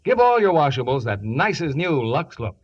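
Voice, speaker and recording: A man's voice: on the high side, big pitch swings, normal speed, very noisy. A man announcing scripted 1950s radio sponsor copy. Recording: dull old radio broadcast recording.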